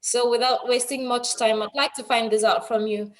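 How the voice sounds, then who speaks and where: A woman's voice: on the high side, steady tone, normal speed. A woman hosting an online interview show in an effusive, announcer-like manner. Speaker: host, anchor of an online TV show. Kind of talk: presenting and interviewing.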